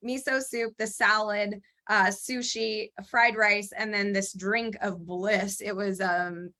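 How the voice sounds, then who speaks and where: A woman co-hosting a podcast in casual chat, talking excitedly and laughing often, her voice a little strained as she recovers from laryngitis. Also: mid-range voice, takes it slowly. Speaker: podcast co-host.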